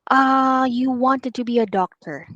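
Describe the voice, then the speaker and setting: A woman in her twenties hosting a casual interview, playful and quick to laugh as she asks questions. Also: high voice, a bit bright, dry recording, highs cut off. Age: 20s. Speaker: podcast host.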